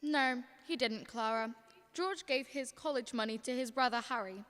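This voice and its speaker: A young woman acting a calm senior angel who explains a story in a radio drama. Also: a little high, normal speed, lightly acted. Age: young adult. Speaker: student actor. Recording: long hall-like reverb.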